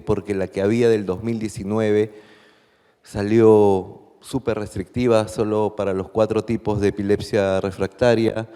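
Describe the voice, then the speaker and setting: A man with a Peruvian accent reports to a conference as a doctor on the panel. His voice is fairly low, mellow and very clear. It rings with the long reverb of a large hall. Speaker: guest panelist, medical doctor.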